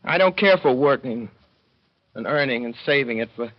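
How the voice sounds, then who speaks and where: A man's voice: mid-range voice, animated, moderate pace, natural voice. A man playing a slangy heartbroken fellow. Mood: angry at himself. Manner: slangy, rueful, talking to himself. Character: lovesick working-class young man, self-reproaching. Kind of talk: 1930s radio drama monologue. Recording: dull old radio broadcast.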